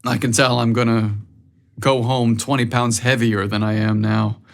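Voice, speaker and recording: A man's voice: mid-range voice, flat delivery, normal speed, lightly acted. A man playing a good-humored, reluctant young man in a narrated story, with a wry manner. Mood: wry, delighted. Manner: sighing, wry.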